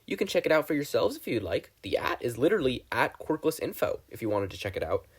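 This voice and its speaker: A man's voice: mid-range voice, sing-song, fast talker, husky. A man voicing a defiant young villain who preaches earnestly and challengingly in a video message, for a story reading.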